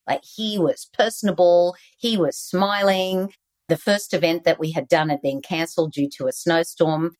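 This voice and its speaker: An Australian woman, a guest author on leadership, giving earnest, animated interview answers over a video call. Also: sits low.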